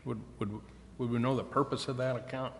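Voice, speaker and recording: A man speaking as a council member at a public meeting, in halting, formal phrases. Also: medium pitch, fairly even intonation, gravelly. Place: indoor city council chamber. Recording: echoey council chamber with faint noise.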